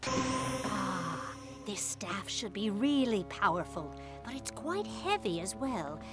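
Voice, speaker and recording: A scheming voodoo priestess in an adventure game. Her delivery is theatrical and enticing, with wide swings of pitch, and music plays clearly behind her. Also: very animated, slow. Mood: conspiratorial. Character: mysterious, scheming voodoo priestess seeking her lost power. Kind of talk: video game character acting.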